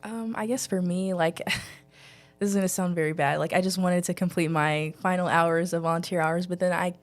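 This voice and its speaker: A woman's voice: on the low side, steady tone, normal speed. A young woman from Texas talking casually as a student guest in an interview. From Texas, United States. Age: young adult. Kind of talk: casual chat.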